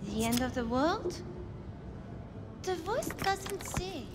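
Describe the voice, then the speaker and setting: A high-voiced French woman, an eccentric video game bartender. She speaks very slowly and cryptically, her voice ringing in long reverb. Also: animated, exaggerated voice. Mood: cryptic, odd.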